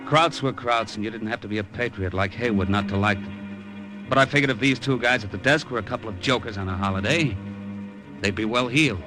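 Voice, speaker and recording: An actor playing a cynical American gambler in a vintage radio drama, wry and slangy, with an American accent. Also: male voice, fairly low pitch, a little fast, music in the background, lightly acted. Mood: hard-boiled narration. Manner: wry, slangy, hard-boiled. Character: cynical, broke American gambler abroad, middle-aged. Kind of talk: 1950s radio drama acting and noir narration.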